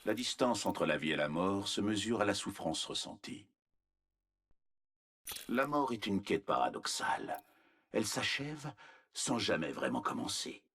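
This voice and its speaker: An actor playing a cold, arrogant, menacing scientist villain in a video game, speaking in a measured, condescending, clinical manner with a husky voice. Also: male voice, on the low side, big pitch swings, fairly bright tone, heavily acted. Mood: detached menace. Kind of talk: scripted video game character voice lines.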